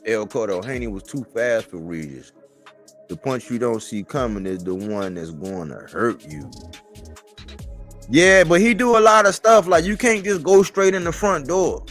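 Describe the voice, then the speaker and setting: Higher-pitched man chatting casually about sports. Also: fairly bright tone. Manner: conversational, colloquial, repeats phrases for emphasis. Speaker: boxing commentator on a live stream.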